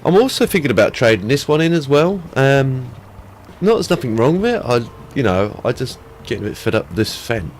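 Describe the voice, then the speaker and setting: A man's voice: mid-range voice, sing-song, a little fast, slight background noise. A man with a head cold, chatting casually through gameplay as a let's play host, rambling with lots of ums.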